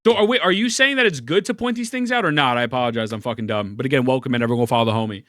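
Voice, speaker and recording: A man's voice: medium pitch, expressive, racing, a bit bright, no echo, clean studio sound. A man streaming live, reacting to a video and chatting casually with his viewers, rambling and putting himself down while greeting chat. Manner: rambling, self-deprecating, greets chat often.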